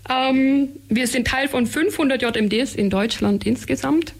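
A woman's voice: high voice, a little slow. A woman who works as a counselor for young migrants, a guest on a radio show, explaining her work fluently with frequent fillers. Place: radio studio.

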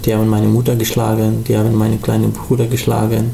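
A young man from Afghanistan, speaking German as an as a guest in a radio interview, halting and full of restarts. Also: on the low side, monotone, creaky, mellow, roomy sound, slight background noise. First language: not German. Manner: halting, many restarts and fillers. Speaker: studio guest.

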